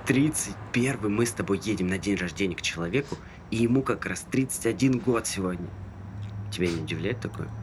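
A man's voice: lowish voice, expressive, fairly quick, lightly acted. An actor playing a talkative, good-natured young man who muses in a casual conversational way in a stage drama. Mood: enthused musing. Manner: musing, rambling, conversational. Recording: noisy ambient background with vehicle sounds.

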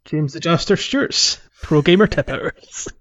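A man's voice: fairly high pitch, unhurried, a bit bright. A man co-hosting a casual gaming podcast, explaining things agreeably on a slightly dull recording. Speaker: podcast co-host.

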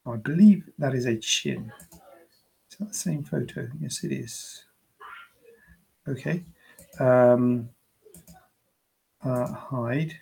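A gravelly-voiced man with a husky edge hosts a casual live-streamed Q&A as a plastic surgeon. He chats and jokes at his own expense, then explains medical advice in plain, everyday terms. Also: mid-range voice, slow pace, long pauses, mellow, low-quality recording. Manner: chatty, joking, self-deprecating asides.

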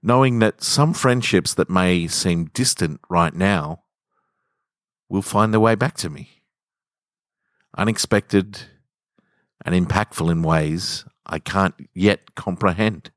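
Low-voiced Australian man, close and dry. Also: slow, pauses often, dry recording. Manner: self-deprecating, rambling, easygoing. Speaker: podcast host and story reader. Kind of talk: story reading and casual podcast sign-off. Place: home garage.

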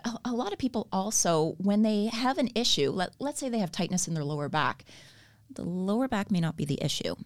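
A woman's voice: fairly low pitch, a little mellow. A woman with a Canadian accent, a podcast guest earnestly explaining her therapy work and laughing easily. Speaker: guest, stretch therapy educator. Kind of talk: podcast interview.

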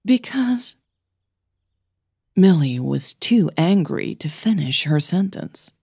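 A woman narrating a scripted audio story as its host, measured and deliberate in her delivery. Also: lowish voice, creaky, dark tone, breathy edge, no echo, studio quality.

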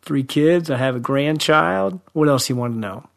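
A man's voice: a little high, moderate pace, creaky. A man, a friendly, self-deprecating podcast guest with a young-sounding voice who laughs often. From Central Ohio, USA. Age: 50s. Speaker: high school teacher, guest. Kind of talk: podcast interview.

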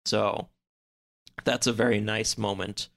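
A man in a casual podcast chat, recapping a story in a halting, rambling way full of filler words. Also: lowish voice, little pitch movement, a bit bright. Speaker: podcast guest.

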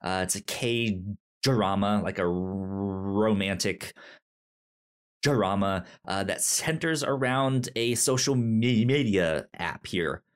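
Low-voiced man with a stutter. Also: slow pace, clean tone. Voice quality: frequent stutter, repeating sounds and syllables. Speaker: podcast co-host. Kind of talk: casual movie review chat.

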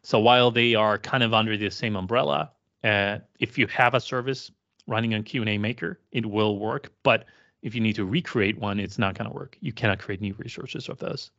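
A man co-hosting a tech podcast in a low voice. He talks casually and hesitantly, often restarting his sentences. The audio is a little dull. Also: fairly quick, a bit bright. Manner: hesitant, many fillers and restarts. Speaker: podcast co-host.

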